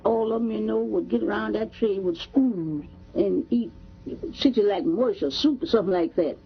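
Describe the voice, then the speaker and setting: A woman's voice: mid-range voice, moderate pace, breathy edge, muffled recording. A woman in her nineties with a Texas accent, recounting memories in a folksy, repetitive way on an old archival interview recording. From Texas, United States. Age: 90s. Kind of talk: oral history interview.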